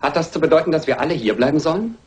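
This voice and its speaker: A man playing a brisk, insistent art dealer under suspicion in a German radio crime drama, with a husky voice. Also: on the high side. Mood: agitated, protesting. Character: brisk, evasive male London-style art dealer suspect.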